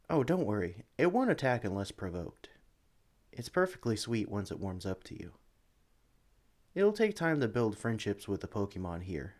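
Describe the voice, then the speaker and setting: A man playing a friendly, excitable young sanctuary employee who chats eagerly and apologetically to a new recruit in a one-sided audio roleplay. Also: on the low side. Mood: careful, reassuring. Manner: chatty, eager, apologetic, with hesitations. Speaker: experienced employee showing a new recruit around. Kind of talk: ASMR roleplay, one-sided conversation with the listener.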